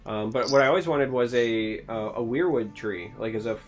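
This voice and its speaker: Michigan guy hosting a chill podcast, dry jokey delivery, average pitch. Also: moderate pace, faint music behind. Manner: dry, joking, asks questions. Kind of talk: casual chat.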